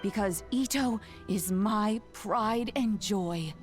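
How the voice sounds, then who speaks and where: A woman voicing a gentle old granny, slow and motherly. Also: medium pitch, music in the background, close to an everyday voice. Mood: proud. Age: older. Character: kindly old granny caretaker, gentle and proud.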